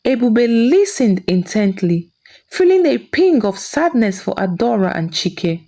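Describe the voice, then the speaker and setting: A woman's voice: on the high side, sing-song, measured pace, mellow. A woman narrating a scripted folktale as a storyteller.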